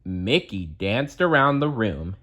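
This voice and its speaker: A man narrating a children's storybook aloud in a dramatic, deliberate storytelling manner. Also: on the low side, sing-song, fairly bright tone.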